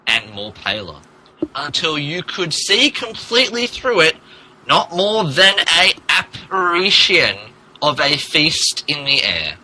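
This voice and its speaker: A man's voice: a little high, noisy background. A man narrating a fan fiction story as a mock-dramatic podcast reading, amused, theatrical and emphatic.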